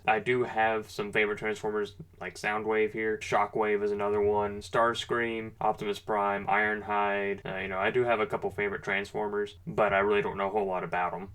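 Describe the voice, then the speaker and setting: A man with a somewhat low-pitched voice presents a hobby product review. His delivery is unscripted and conversational. He comes across as an informal enthusiast who talks through each detail casually. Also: fairly bright tone, clear, resonant tone.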